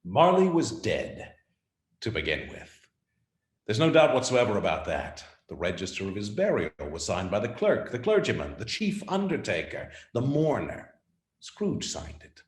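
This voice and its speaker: A man's voice: lowish voice, wildly swooping pitch, normal speed, roomy sound. A man narrating a scripted radio play as a theatrical, emphatic storyteller.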